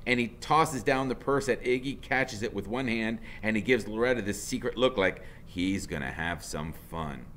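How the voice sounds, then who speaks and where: A man narrating a first-person story aloud in an expressive, dramatic way. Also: lowish voice, moderate pace, few pauses, a little hiss or hum. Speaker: audiobook reader, teacher.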